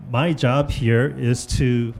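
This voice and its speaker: A man commentates on a live event and chats with the competitors. His manner is joking and teasing, and he often restarts his sentences. His voice sits in an ordinary middle pitch. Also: steady tone, slow pace, a little mellow.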